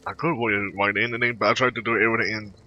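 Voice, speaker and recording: A man with a very bright, sharp, lowish voice rambles haltingly through casual game commentary. The recording is dull, with faint noise behind him. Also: fairly even intonation. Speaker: gameplay video host. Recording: muffled home mic with faint noise.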